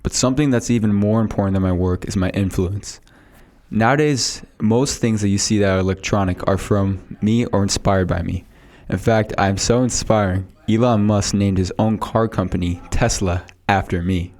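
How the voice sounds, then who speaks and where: A man's voice: lowish voice, moderate pace, darkish tone, lightly acted. A man playing a boastful male inventor of the 1800s, reading a prepared script aloud in a school podcast role-play.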